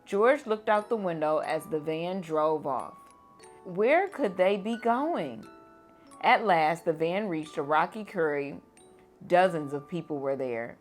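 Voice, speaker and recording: A teacher reading a children's picture book aloud at bedtime, gentle and expressive. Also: female voice, fairly low pitch, slow pace, soft background music.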